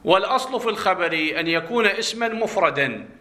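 A man's voice: high-pitched, animated, pushed and bright. A man with an Iraqi Arabic accent teaching grammar in a classroom lecture. He asks the class questions and answers them himself, drawing out key words for stress. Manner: drawn-out stressed words when explaining terms.

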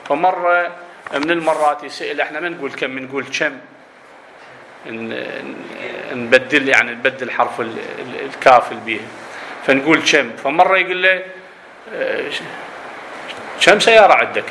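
Iraqi male teacher, bright, raised voice, quizzes his class, echoey room. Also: medium pitch, moderate pace, long pauses, slight background noise. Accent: Iraqi Arabic. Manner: explanatory, asks students questions, slips into dialect. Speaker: grammar teacher. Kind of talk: classroom lesson on Arabic grammar.

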